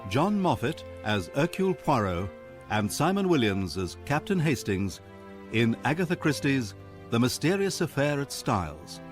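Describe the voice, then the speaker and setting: A male announcer introduces a radio drama. His medium-pitched voice moves in a lively way over prominent music. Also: normal speed.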